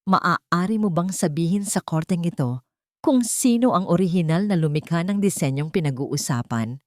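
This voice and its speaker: A woman's voice: fairly low pitch, animated, normal speed, no room sound, clean studio sound, natural voice. A woman voicing an aggressive male lawyer in a story, formal and accusatory. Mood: pointed questioning. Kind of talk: courtroom drama in narrated story.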